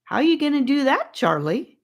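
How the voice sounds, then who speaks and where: Woman acting a high-voiced, plucky little boy. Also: big pitch swings, a little fast. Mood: cheerful, curious. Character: feisty, blunt little boy, plucky and trusting.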